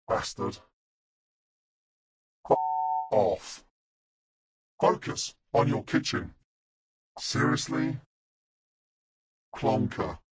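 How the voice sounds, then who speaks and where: A man's voice: medium pitch, breathy edge, exaggerated voice. A man voicing an angry, foul-mouthed celebrity chef in a mobile game, barking short insults and orders through a demonic voice effect. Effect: demonic voice filter. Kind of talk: mobile game character voice lines.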